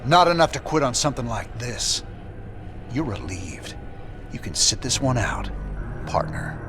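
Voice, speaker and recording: An actor playing a weary, resolute rebel starship commander in a video game, terse and commanding. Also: male voice, mid-range voice, very animated, unhurried, music in the background. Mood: grim, firm. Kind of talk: video game cinematic acting.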